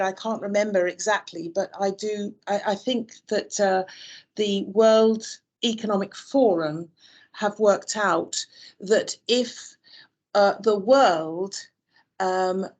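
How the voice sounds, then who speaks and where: A woman from the UK answering interview questions on a video call, thoughtful, with many fillers and restarts. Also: on the low side. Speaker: interview guest. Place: Dubai.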